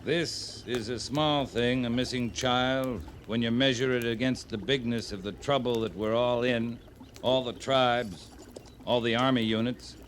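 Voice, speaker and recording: Actor as earnest frontier cavalry officer, old radio. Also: male voice, mid-range voice, steady tone, natural voice. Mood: earnest, persuasive. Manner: plainspoken, earnest, deliberate. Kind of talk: 1950s radio western acting. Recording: slightly noisy vintage radio recording.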